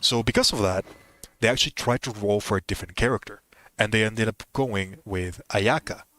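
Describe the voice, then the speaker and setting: A man's voice: on the low side, a little fast, a bit bright. A man streaming a video game, talking casually and explaining strategy, often rephrasing his points. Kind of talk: casual game commentary and advice.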